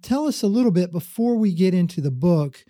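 Dark, mellow-voiced male podcast host. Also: high-pitched, expressive, fairly quick, dry recording, studio quality. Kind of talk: interview hosting.

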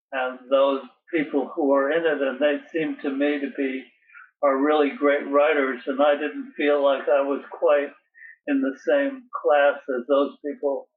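A man in his eighties from Connecticut, an interview guest who speaks haltingly and repeats his words. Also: on the high side. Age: 80s. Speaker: poet and guest. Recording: distant tinny phone line.

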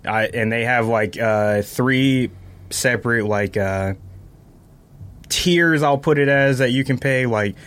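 A man's voice: mid-range voice, takes it slowly, a bit bright. A man in a casual podcast chat, explaining things informally step by step. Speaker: podcast guest talking about sneakers.

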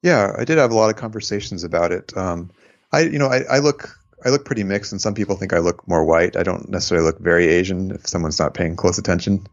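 An American man recalling his time abroad in an interview, reflective and unhurried, with frequent fillers. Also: deep voice, muffled recording. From United States. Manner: reflective, unhurried, many fillers, laughs at own stories. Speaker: interviewee, former volunteer abroad. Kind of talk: oral history interview.

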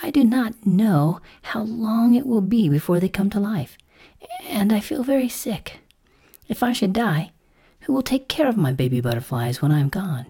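Woman voicing a frail, high-pitched mother butterfly. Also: expressive, normal speed, gravelly, warm tone. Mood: weak, sick. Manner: plaintive, faint, sighing. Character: frail dying mother butterfly, anxious, pleading. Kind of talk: storybook character voice.

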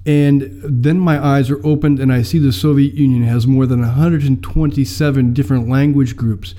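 An American man in his fifties, telling how he came to mission work in a measured, filler-laden interview. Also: medium pitch, steady tone, warm tone. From United States. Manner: measured storytelling with many fillers. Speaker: mission mobilizer, guest. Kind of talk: personal testimony in an interview.

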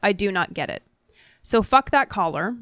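A woman co-hosting a podcast, reading listener messages aloud in a measured, articulate manner. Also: mid-range voice, animated, fairly bright tone, no echo. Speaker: podcast co-host.

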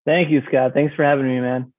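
A man's voice: mid-range voice, steady tone, a little fast, no echo. A man telling his story casually as an interview guest, acting out his own thoughts as he goes. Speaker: podcast guest, audio drama creator. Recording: thin, narrow phone-like sound.

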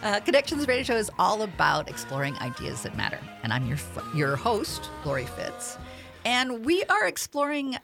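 A woman hosting a radio talk show in a studio, talking earnestly and at a measured pace, with many fillers. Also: medium pitch, vocal fry, fairly bright tone.

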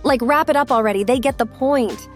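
A woman's voice: high voice, a little fast, faint music behind, lightly acted. A woman playing an impatient, sassy female sidekick who heckles a podcast host with snippy sarcasm. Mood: exasperated, sarcastic.

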